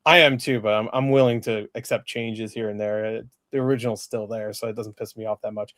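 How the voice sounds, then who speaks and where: A man's voice: fairly low pitch, fairly even intonation, a little fast, fairly bright tone, no room sound, studio quality. A man giving a casual film review in a rambling, emphatic and blunt way, as a movie reviewer in conversation.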